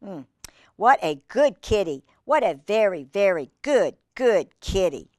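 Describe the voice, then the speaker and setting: A woman's voice: mid-range voice, no room sound, studio quality. Woman reading a picture book to children. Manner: expressive, drawn-out emphasis on read words, conversational asides to young listeners. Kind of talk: children's storytime read-aloud with chatty asides.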